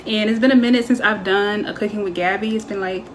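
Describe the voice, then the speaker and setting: A woman's voice: medium pitch, steady tone, fast, a little hiss or hum. A young woman in her twenties chatting casually as she walks through a home cooking recipe, with lots of fillers. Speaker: cooking vlogger. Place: home kitchen, then a small bright bedroom.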